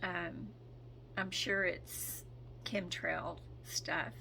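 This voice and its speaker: A hoarse woman speaking in a slow, hesitant way, with frequent ums and throat clearing, as she gives a casual spoken update as a spiritual channel. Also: lowish voice, steady tone, pushed and bright, slight background noise, rough recording.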